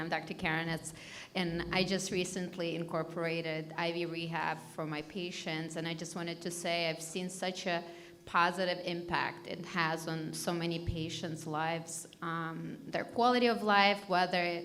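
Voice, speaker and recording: A woman doctor making a halting comment from the audience at an event. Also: on the low side, a bit bright, slight background noise. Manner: halting, with restarts and fillers.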